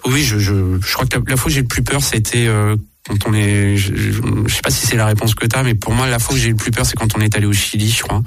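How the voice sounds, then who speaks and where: A man's voice: on the low side, steady tone, fast. A man in his fifties, a musician guest in a radio interview, talking casually with lots of hesitant repetitions. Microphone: studio microphone. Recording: dry radio studio, faint music.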